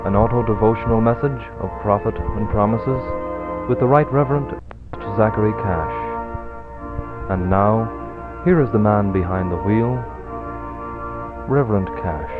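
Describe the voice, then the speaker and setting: Loud organ music swells behind a man acting as a solemn, ceremonious male radio announcer. His lowish voice gives a grandiose spoof religious program introduction on a thin, narrow-band recording. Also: unhurried, natural voice.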